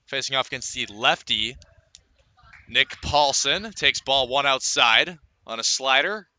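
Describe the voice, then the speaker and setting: A man doing live baseball play-by-play as a radio announcer, describing the action in a measured way with pauses between calls. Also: mid-range voice, animated, bright, forceful tone. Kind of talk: live sports commentary.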